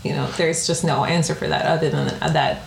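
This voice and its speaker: A woman's voice: low voice, fairly even intonation, fairly quick, creaky. A woman from the Bronx, New York, speaking as a podcast guest in a reflective, conversational way, often adding "like" and "right?". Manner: reflective, frequent "like" and "right?" tags. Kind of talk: conversational interview. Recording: echoey room with faint noise.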